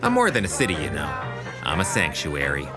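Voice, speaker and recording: A wry, proud city narrator in a podcast drama. The delivery is theatrical and animated, moving between scolding and measured storytelling, with music clearly behind it. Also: male voice, very deep voice, exaggerated voice. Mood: wry, stately. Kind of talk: scripted audio drama narration.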